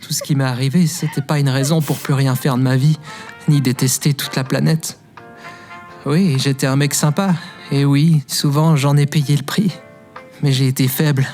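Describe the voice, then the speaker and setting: An actor playing a guilt-ridden, soft-hearted ordinary man who stammers and pleads in a scripted audio drama. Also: mid-range voice, fairly even intonation, moderate pace, soft background music, natural voice. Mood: remorseful.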